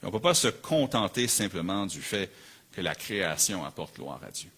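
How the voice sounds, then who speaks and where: A man with a low, forceful voice delivers a sermon in Quebec French. He speaks as a pastor, earnest and emphatic, and leans on repeated phrases to build each point. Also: big pitch swings, normal speed, slightly rough. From Canada. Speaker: pastor preaching.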